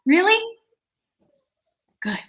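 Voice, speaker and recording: A woman's voice: extremely slow, a bit bright. A woman playing an exasperated, bossy chair of a small-town theater meeting, stern and flustered, in a staged reading. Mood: controlled impatience.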